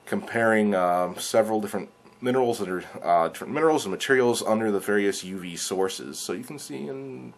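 A young man talking casually and a little hesitantly, explaining things to camera in a home vlog. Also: deep voice. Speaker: video creator, hobbyist presenter. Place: living room indoors. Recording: clear steady background noise.

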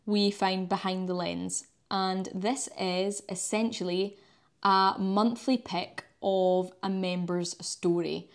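A young woman in her twenties with a Scottish accent, chatting upbeat about her online community, recorded on a lapel mic in a small room. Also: medium pitch. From Scotland. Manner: upbeat, chatty, uses local words like wee and yous. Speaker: astrophotography channel host. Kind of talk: enthusiastic vlog and screen walkthrough. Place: small indoor room by a coat rack. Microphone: clip-on lapel mic.

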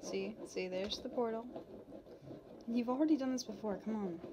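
A woman playing a playful, anxious young demon princess in an ASMR roleplay, talking casually and haltingly with a giggly manner. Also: on the high side, very noisy, lightly acted. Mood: coaxing, playful. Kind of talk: ASMR roleplay, unscripted-sounding casual chat.

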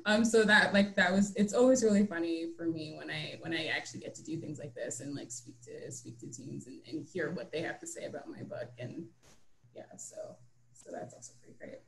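A woman's voice: deep voice, fairly quick, gravelly. A young adult woman, an author chatting casually as a guest on an online book talk. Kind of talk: casual chat. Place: indoor room, on a video call. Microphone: computer mic on a video call. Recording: roomy, echoing video call.

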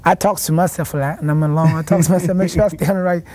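A young man, a boxing champion answering in a TV studio interview, halting and restarting his words. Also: on the high side, steady tone, mellow. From South Carolina, raised in Washington, D.C. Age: young adult. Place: TV studio set.

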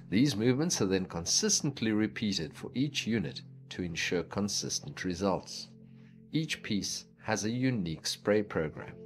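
A man giving scripted documentary narration about a factory in a measured, explanatory delivery. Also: lowish voice. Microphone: studio voice-over. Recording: voice-over with clear background music.

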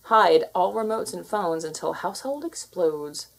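A woman's voice: fairly low pitch, expressive, a little slow, gravelly, full character voice. A woman voicing a confident, bossy alpha cat who lectures kittens, in a theatrical storybook read-aloud style. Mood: sly, instructive.

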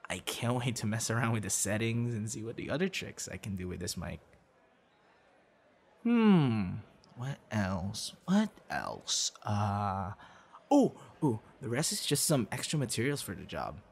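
A man's voice: fairly low pitch, very animated, measured pace, vocal fry, slightly rough, exaggerated voice. A young man acting a teasing, friendly boyfriend figure in an intimate, casual audio roleplay. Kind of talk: ASMR boyfriend roleplay, intimate one-sided dialogue.

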